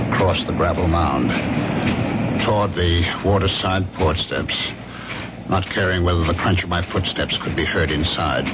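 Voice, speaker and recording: A brooding, suspicious husband narrates tensely in an old radio drama. His voice is deep, and the dull vintage recording carries loud noise. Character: brooding, suspicious husband recounting a dark night. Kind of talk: 1970s radio drama first-person narration.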